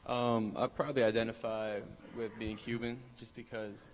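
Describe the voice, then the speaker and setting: A man's voice: on the low side, flat delivery, normal speed. A young man, an interviewee, answering in an easygoing way with casual slang.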